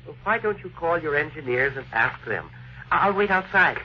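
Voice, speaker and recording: An actor playing an earnest, courteous, dreamy inventor who is hesitant yet insistent, in 1950s radio drama style. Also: male voice, on the high side, lively intonation, normal speed, husky. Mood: quietly confident. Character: earnest, polite, dreamy male inventor, quietly persistent. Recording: dull vintage radio recording.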